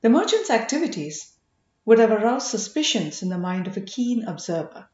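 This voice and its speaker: A woman reading a story aloud as scripted audiobook narration, in an expressive, measured manner. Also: medium pitch, reverberant, lo-fi sound. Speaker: audiobook reader.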